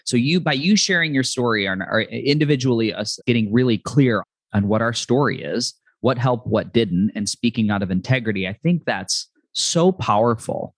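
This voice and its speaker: An earnest, reflective man hosting a podcast, talking in long run-on thoughts. His voice sits at a medium pitch. He sounds close and dry, with no room around him. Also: lively intonation, moderate pace, few pauses, dry recording. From New York City. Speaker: podcast host. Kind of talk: casual interview chat.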